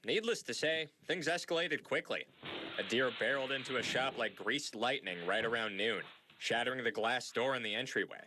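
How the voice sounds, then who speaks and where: A man's voice: medium pitch, normal speed, heavy background noise. A man reading a scripted TV news feature with a playful, punning delivery. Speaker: news reporter.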